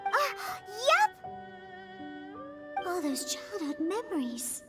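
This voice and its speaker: A cute, sassy little girl in an anime game, with a squeaky, extremely high voice. Her delivery is unhurried and swoops up and down, over loud music. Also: wildly swooping pitch, exaggerated voice. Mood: wonder. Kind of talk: anime-style game voice acting. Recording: prominent background music.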